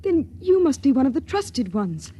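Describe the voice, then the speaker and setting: A timid young woman in a vintage radio play, soft and pleading. Her voice is mellow and somewhat high, with faint broadcast hiss behind it. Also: very animated, moderate pace. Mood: tender. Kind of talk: 1950s radio drama acting.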